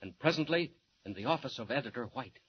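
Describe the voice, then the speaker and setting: A male announcer delivers scripted 1940s radio narration with theatrical suspense. His pitch is medium. The recording is muffled with a faint hiss. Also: lively intonation, fast.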